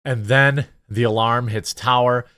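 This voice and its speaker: Male commentator, mid-range voice, dry close-mic sound, conversational and hesitant, thinking out loud as he walks through footage. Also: medium pitch, fairly even intonation, measured pace, no echo. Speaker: commentator and show host. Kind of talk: casual news commentary over footage.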